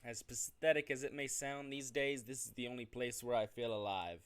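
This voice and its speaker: A man's voice: medium pitch, steady tone, moderate pace, clear, resonant tone. A man streaming a game, reading its narration aloud expressively, with occasional stumbles and self-corrections. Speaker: gaming streamer doing a playthrough. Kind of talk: reading visual novel narration aloud with off-the-cuff reactions.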